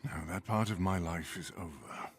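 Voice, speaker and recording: An actor playing a brooding, protective thunder god in a video game drama, grave and earnest, reluctant to fight. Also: male voice, moderate pace, soft background music, natural voice. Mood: grave, gentle. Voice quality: deep, husky.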